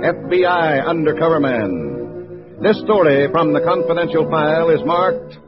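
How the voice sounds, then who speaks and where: A man's voice: on the high side, sing-song, measured pace. A man with an American accent announcing a scripted 1950s radio show in a dramatic, declamatory manner. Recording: muffled old radio recording. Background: dramatic music.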